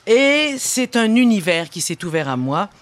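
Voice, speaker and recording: A woman's voice: medium pitch, sing-song, measured pace, no room sound. Casting: female Quebec French comic columnist, theatrical, mock-grand, emphatic monologue delivery. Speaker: comic columnist on a radio show.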